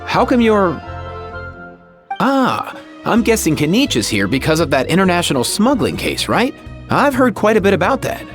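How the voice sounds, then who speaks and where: Breezy, lively-pitched young game character. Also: male voice, normal speed, soft background music. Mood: teasing. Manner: breezy, colloquial. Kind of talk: video game character acting.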